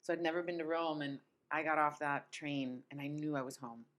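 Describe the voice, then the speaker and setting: A woman who grew up in Italy and Tampa, a guest on a podcast, telling her story softly and laughing easily. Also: sits low, fairly even intonation, fairly quick. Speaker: podcast guest, food tour business owner. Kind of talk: casual interview storytelling.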